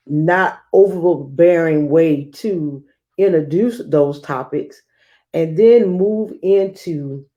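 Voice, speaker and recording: A woman hosting a children's read-aloud as a teacher, speaking in a measured, expressive storytelling manner. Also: low voice.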